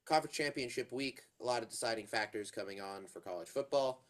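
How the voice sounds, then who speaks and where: A man's voice: medium pitch, quick pace. A congested man co-hosting a casual college football podcast, rambling with plenty of fillers and restarts. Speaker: podcast co-host. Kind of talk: casual sports chat.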